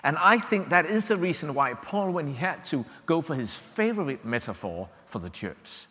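A man from Denmark preaches in a Danish accent, his voice bright and forward. He talks to the congregation in a loose, chatty way, full of ums and restarts, and checks in with a quick "yeah?". A long church reverb trails behind every phrase. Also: fairly high pitch, big pitch swings. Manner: conversational, many fillers and restarts, checks in with "yeah?". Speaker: visiting preacher. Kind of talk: sermon.

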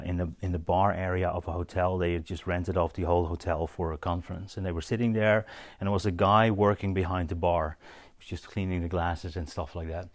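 A man giving an informal, story-led sermon as a preacher, halting and conversational, often checking in with his listeners. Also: deep voice, dry recording. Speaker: preacher teaching on spiritual gifts.

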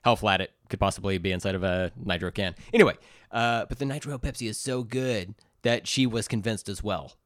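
A man's voice: on the low side, fairly quick, dry recording, studio quality. A man co-hosting a casual comedy podcast, joking crudely and enthusiastically as he chats. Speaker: podcast co-host. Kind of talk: casual comedic banter, taste-testing sodas.

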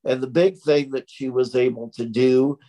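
A middle-aged man, speaking loudly and slowly, over-articulating each word as an interview guest. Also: medium pitch, steady tone, highs cut off. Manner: slow, deliberate, over-articulated speech; naturally loud, projecting voice. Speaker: interview guest, stroke survivor. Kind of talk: conversational interview.

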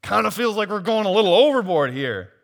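A pastor preaching a sermon, losing his voice and swinging from soft asides to loud, emphatic points. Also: sits high, very animated, fairly quick, creaky. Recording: long hall reverb.

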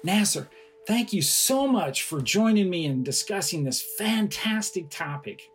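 A male podcast host with a somewhat high, husky voice puts friendly, encouraging questions to his guest. His tone stays relaxed and conversational. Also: a little slow, faint music behind. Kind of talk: interview hosting.

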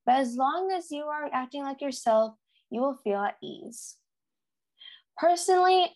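A teenage young woman with an American accent, co-hosting a youth podcast and reading from a prepared script. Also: fairly high pitch. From United States.

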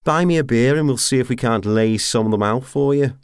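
A man's voice: mid-range voice, a little fast, smooth, no room sound, clean studio sound, voice pushed up, natural voice. A man voicing a scrawny, cheeky mercenary brawler in an audiobook, brash, leering and teasing. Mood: cheerful, cheeky. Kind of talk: audiobook character acting.

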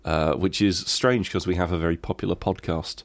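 A man's voice: low-pitched, steady tone, a little fast, no room sound. A man in his late thirties co-hosting a casual podcast, bunged up from a cold, playful and rambling. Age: late 30s. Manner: playful, rambling, drifts into silly bits. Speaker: podcast co-host. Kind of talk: casual chat.

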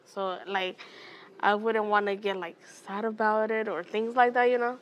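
Young woman from Oakland, soft-spoken interview guest, average pitch, recorded outdoors. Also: flat delivery, normal speed, a little hiss or hum, rough recording. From Oakland, California. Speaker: guest, educator and mentor.

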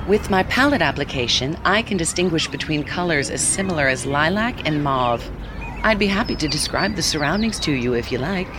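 An actor voicing a helpful AI seeing-eye app assistant in an audio drama, with a polite, measured, instructive delivery. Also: mid-range voice, lively intonation, background noise, close to an everyday voice. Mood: polite, informative. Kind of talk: audio fiction acting, synthetic assistant delivery.

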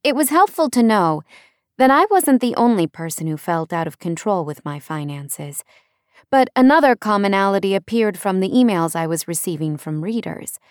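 A woman's voice: mid-range voice, animated, moderate pace, dry recording, clean studio sound. A woman narrating a first-person nonfiction audiobook in a conversational way, leaning on key words for emphasis.

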